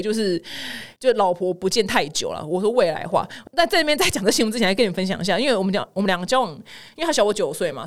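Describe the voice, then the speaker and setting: A woman hosts a casual podcast in Taiwanese Mandarin at a brisk clip. Her pitch swings widely as she tells stories to her listeners. She comes across as frank, expressive and ready to poke fun at herself. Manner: candid, self-mocking, expressive. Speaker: podcast host of a humorous news show. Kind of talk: casual chatty storytelling to listeners.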